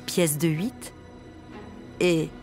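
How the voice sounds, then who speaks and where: A woman's voice: sits low, steady tone, drawn-out words. A woman announcer reading the scripted closing credits of a radio fiction. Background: music.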